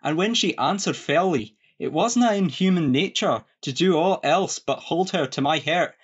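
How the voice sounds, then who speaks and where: A man's voice: on the high side, animated, moderate pace, slightly rough, lo-fi sound. A man playing a sentimental, devoted young peasant lad in a farcical radio play, with a broad rustic Scottish accent. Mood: pleading, glad.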